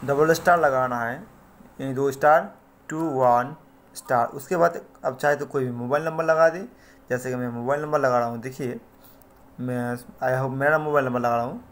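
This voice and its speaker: A man's voice: mid-range voice, steady tone, moderate pace. An Indian man hosting a casual tech tutorial, talking to viewers in a repetitive, pleading way. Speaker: tech tutorial channel host. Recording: noisy amateur recording with background ambience.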